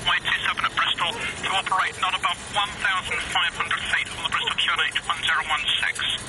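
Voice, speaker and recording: Heavy noise covers this radio recording of a man. He is an air traffic controller with a high voice, speaking in clipped, procedural phrases. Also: little pitch movement, fast talker. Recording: very noisy radio transmission.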